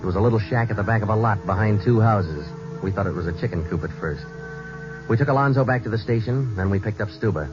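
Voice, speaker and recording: A man playing a deep-voiced, clipped police sergeant. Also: fast, close to an everyday voice. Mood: flat. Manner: clipped, flat, matter-of-fact. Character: terse male police sergeant narrating a case. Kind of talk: 1940s radio drama acting. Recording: dull old radio broadcast with music.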